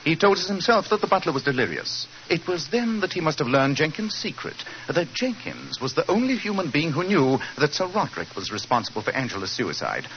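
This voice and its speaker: An incisive British detective from a 1940s radio play. His fairly high voice swings expressively while staying precise and superior. The old recording is dull with some noise. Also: sing-song, fairly quick, hardly any pauses. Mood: coolly deductive. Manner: precise, clipped, authoritative. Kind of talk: 1940s radio drama acting.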